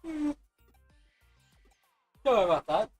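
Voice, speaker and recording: A woman streaming a video game and chatting casually, her voice scratchy and hoarse, rambling with many restarts. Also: a little high, very animated, slow pace, soft background music. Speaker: gaming livestreamer. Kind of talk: casual chat while playing.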